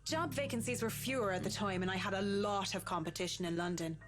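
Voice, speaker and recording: Game role: young professional woman female actor, mid-range voice, courteous, guarded, proud, businesslike; music clearly behind. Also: mid-range voice, quick pace, close to an everyday voice. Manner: polite, reserved, businesslike. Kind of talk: visual novel voice acting. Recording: game dialogue over music.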